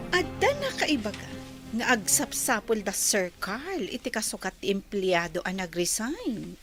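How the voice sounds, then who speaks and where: A woman's voice: medium pitch, big pitch swings, unhurried, over music. A woman playing a boastful, teasing office worker in a radio-style drama.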